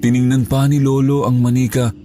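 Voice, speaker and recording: A man with a Filipino accent narrating a scripted first-person horror story in a dramatic, deliberate way. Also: medium pitch, little pitch movement, warm tone. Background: background music.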